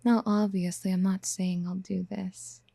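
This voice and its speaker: Casting: actress as obsessive motherly yandere for ASMR roleplay, very mellow and breathy, slow whispered coaxing, tender and possessive. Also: mid-range voice, little pitch movement, no echo. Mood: possessive, soothing. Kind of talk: soft-spoken ASMR roleplay acting. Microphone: close mic.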